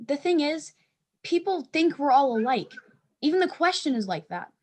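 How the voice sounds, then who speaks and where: Thoughtful teen schoolgirl, played by a woman, high voice, hesitant and casual, stage reading. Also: big pitch swings, moderate pace. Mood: hesitant, earnest. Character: thoughtful, hesitant fourteen-year-old girl who prizes being different.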